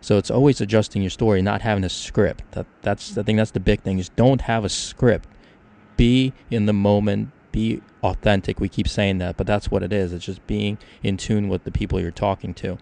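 A man's voice: lowish voice, steady tone, moderate pace, creaky, mellow. A man from Ukraine hosting a casual discussion, talking things through with examples. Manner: conversational, explains with examples. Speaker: podcast host.

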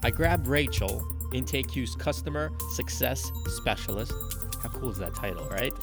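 A man's voice: medium pitch, expressive, normal speed, gravelly, in a large hall, over music. A man hosting a podcast, reading sponsor spots and making announcements in an upbeat, chatty way. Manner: upbeat, chatty promo delivery. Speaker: podcast host. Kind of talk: casual podcast ad reads and announcements.

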